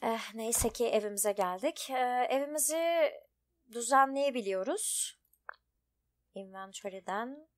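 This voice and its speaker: Woman, higher-pitched voice, casual gaming commentary, chatty, thinking aloud. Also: animated, unhurried. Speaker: gaming video host. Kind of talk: casual let's play commentary.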